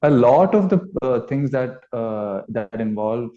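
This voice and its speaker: A man's voice: mid-range voice, lively intonation, a little slow, mellow. A man with an Indian accent answering interview questions as a band musician, reflective and rambling as he searches for his words. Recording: dull, lo-fi audio.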